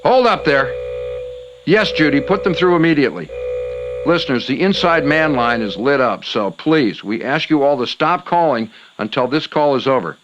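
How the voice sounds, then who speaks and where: An actor playing a folksy, theatrical late-night paranormal call-in radio host who talks in breezy slang. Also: male voice, fairly high pitch, expressive, moderate pace, fairly bright tone, dull audio, a little hiss or hum. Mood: commanding. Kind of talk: acted radio talk show.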